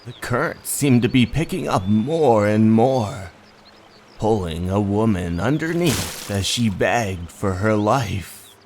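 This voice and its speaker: A man narrating a scripted horror story as the host, with a dramatic, drawn-out storytelling delivery. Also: on the low side, animated, a little mellow, slight background noise. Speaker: narrator and host of manga readings. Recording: music under the voice. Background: background music.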